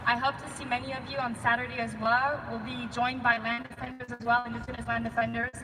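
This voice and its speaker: A woman's voice: fairly high pitch, little pitch movement, fast, far from the mic. Impassioned young woman at an outdoor rally. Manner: impassioned, emphatic. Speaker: youth climate organizer speaking at a rally. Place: outdoor street gathering. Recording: outdoor PA with long reverb and street noise.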